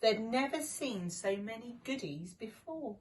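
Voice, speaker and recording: A woman's voice: on the high side, animated, measured pace, slight background noise, low-quality recording. A woman reading a children's rhyming story with dramatic, rhythmic expression. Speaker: deputy headteacher reading a story.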